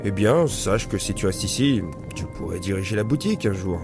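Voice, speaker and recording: A man's voice: on the low side, lively intonation, fairly quick, over music. An actor playing a kindly village baker and father in an audio drama, speaking in an encouraging, paternal manner. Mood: genial, coaxing.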